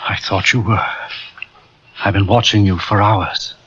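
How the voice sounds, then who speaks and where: An actor playing a grieving rich man, soft-spoken and quietly unhinged, in a 1940s radio drama. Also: lowish voice, fairly even intonation, unhurried, slightly rough, heavily acted. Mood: hushed. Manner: soft, hushed, then zealous. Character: grieving rich older man, gently unhinged, fixated on death. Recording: muffled old radio recording.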